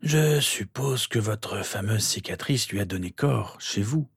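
An aged wizard headmaster, acted out by a male narrator, courteous and pensive. He speaks in a calm, measured way with a husky grain, recorded dry and close. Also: lowish voice, no echo, exaggerated voice. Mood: grave. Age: old. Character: Dumbledore, venerable old wizard headmaster, calm, thoughtful.

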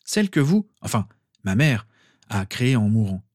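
A man's voice: on the low side, big pitch swings, moderate pace, a little mellow, no room sound, clean studio sound. Man reading as an earnest, hesitant teenage wizard. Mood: explaining. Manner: hesitant, explanatory. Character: earnest, awkward seventeen-year-old wizard boy.

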